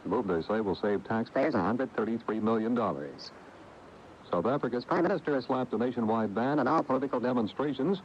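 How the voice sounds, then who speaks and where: Animated American newsman on dull radio tape. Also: medium pitch, slight background noise. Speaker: radio news reporter. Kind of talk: scripted news reading.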